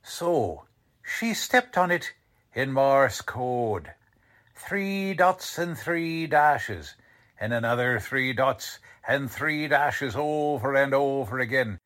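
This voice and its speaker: An actor playing a tough, confident police lieutenant in 1940s-style radio drama. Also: male voice, mid-range voice, expressive, slow pace, fairly bright tone. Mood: confident, wry.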